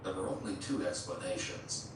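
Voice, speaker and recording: A man delivering scripted documentary narration in a measured, explanatory way. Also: sits low. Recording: echoey, distant, noisy playback.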